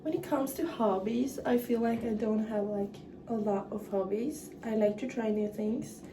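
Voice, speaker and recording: A young woman from northern Norway with a Norwegian accent, chatting casually in English while doing her hair, halting and full of fillers. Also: on the high side. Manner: halting, frequent fillers and restarts. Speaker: vlogger. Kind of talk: casual get-ready-with-me chat. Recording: echoey room, mic far off, clear background noise.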